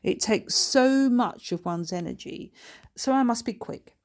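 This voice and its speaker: A female reader acts out a gentleman ghost, somebody's long-departed grandfather in a storybook. He is jovial and jaunty, courteous in an old-fashioned way, with a lifted pitch. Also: expressive, measured pace. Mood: explanatory.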